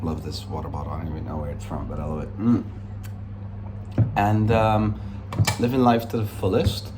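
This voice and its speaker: A man's voice: sits low, flat delivery, normal speed, noisy background. A Dutch man in his twenties with a mixed American-international accent, rambling in a cocky, upbeat way through a casual vlog. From Netherlands. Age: 20s. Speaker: vlogger. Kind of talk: casual unscripted vlog monologue. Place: room in London.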